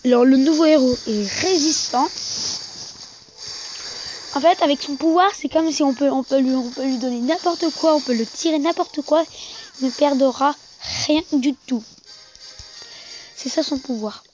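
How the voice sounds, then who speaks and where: A gaming video host giving casual, halting commentary on a mobile game, often repeating and correcting phrases, on a muffled amateur recording. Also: high-pitched, darkish tone, slight background noise.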